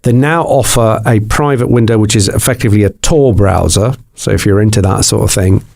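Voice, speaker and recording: A man's voice: fairly low pitch, a little fast, gravelly, a little mellow. A man who is a guest on a podcast and works as a TV presenter and journalist, talking in a rambling way with many restarts and fillers. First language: Greek. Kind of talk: casual interview chat.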